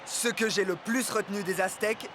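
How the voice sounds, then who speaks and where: A man's voice: high-pitched, steady tone, a little fast, noisy background, close to an everyday voice. A man playing a confident young football star in a cartoon. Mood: relaxed. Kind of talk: cartoon dialogue. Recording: music behind.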